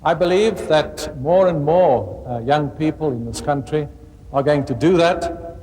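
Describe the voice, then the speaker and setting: Older-ish man doing a rally speech, halting, big reverb and a little noise. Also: a little high, darkish tone. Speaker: rally speaker. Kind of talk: public political speech. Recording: reverberant hall, faint noise.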